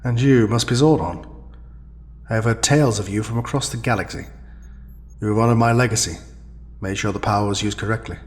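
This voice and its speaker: A man playing an ancient, solemn alien sage in an audio drama, speaking in a measured, deliberate way. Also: lowish voice, lightly acted. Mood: solemn, probing.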